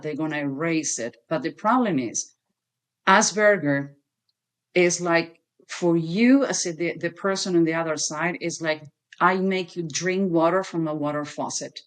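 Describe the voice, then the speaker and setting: A woman from Argentina with an Argentine Spanish accent, speaking English as a guest expert on a video call, lively and quick to laugh. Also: low-pitched. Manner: lively, laughs easily, non-native grammar. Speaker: human behavior expert guest. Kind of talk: conversational interview.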